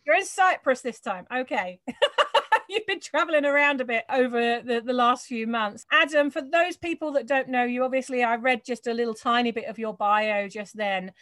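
Female event host, high and enthusiastic, dry sound. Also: normal speed, a bit bright, no echo. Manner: bubbly, enthusiastic. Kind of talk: live event introduction and interview.

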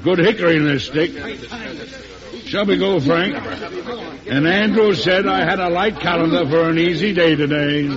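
A man's voice: on the high side, normal speed, over a noisy crowd, natural voice. An older man playing an aging, stern and wry American president in an old-time radio drama, formal and commanding. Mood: worked up. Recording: hissy, muffled vintage radio recording.

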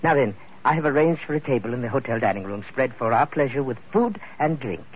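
Man playing a pompous, florid gentleman schemer, tinny old radio. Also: medium pitch, lively intonation, quick pace, slight background noise, lightly acted. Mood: grand, hospitable. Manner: grandiloquent, ornate formal phrasing. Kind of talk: old-time radio drama acting.

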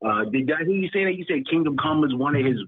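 A male caller argues bluntly, dropping curses as he makes his case. His voice is husky, with a dry sound. It comes through a tinny phone line. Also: on the high side, expressive, fast, no echo. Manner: blunt, argumentative, profane. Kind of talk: casual debate chat.

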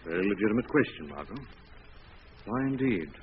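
An actor playing a calm, inquisitive gentleman sleuth in a 1940s radio mystery. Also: fairly low pitch, fairly quick, close to an everyday voice. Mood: curious, composed. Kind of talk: 1940s radio drama acting. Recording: dull, noisy old radio recording.

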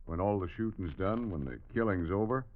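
A man's voice: low voice, brisk, natural voice. An actor playing an earnest, fatherly American governor in an old Wild West radio drama. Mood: earnest, persuasive. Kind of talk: 1950s radio western acting. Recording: dull old radio recording.

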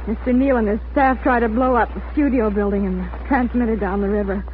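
An actress playing a shaken young working daughter in a 1940s radio drama. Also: female voice, a little high, fairly even intonation, brisk, lightly acted. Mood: shaken, urgent. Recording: very noisy, tinny 1940s radio recording.

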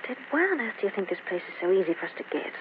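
A woman playing a curious, playful young wife in an old-time radio drama, expressive and inquisitive, on a noisy, tinny recording. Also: medium pitch, rapid-fire, lightly acted. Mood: suspicious, questioning.

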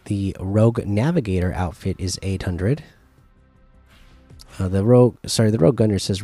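A man's voice: fairly low pitch, dark tone, no room sound. A man hosting a casual solo gaming podcast who is losing his voice, reading through lists plainly with frequent uhs at a close desk mic. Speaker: podcast host.

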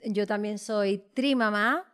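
A woman's voice: medium pitch, a bit bright, clear, resonant tone. A woman from the Canary Islands, co-hosting a casual podcast chat and sounding nervous. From Tenerife, Canary Islands. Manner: slow, nervous. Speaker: podcast co-host.

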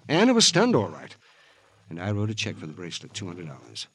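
An actor playing a distraught, bitter American husband in a 1950s radio drama, husky and agitated, repeating himself with emotion. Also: male voice, low-pitched, huge pitch swings, fast talker, fairly bright tone, heavily acted. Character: distraught, guilt-ridden, bitter husband of a murdered woman.

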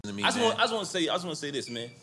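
A man's voice: high-pitched, sing-song, racing, bright, forceful tone, soft background music. A man guest talking earnestly and admiringly in casual chat.

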